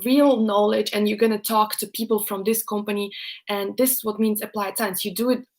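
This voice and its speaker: A woman's voice: mid-range voice, steady tone, fairly quick. A young woman in her twenties with a Russian accent, chatting casually on a livestream and answering viewer questions with many fillers and restarts.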